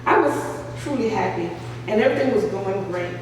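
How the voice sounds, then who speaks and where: A woman's voice: medium pitch, expressive, a little fast, far from the mic, a little hiss or hum. Woman reading her book in an echoey cafe. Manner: earnest, heartfelt storytelling. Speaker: author reading at a book signing. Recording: echoey room, voice from afar.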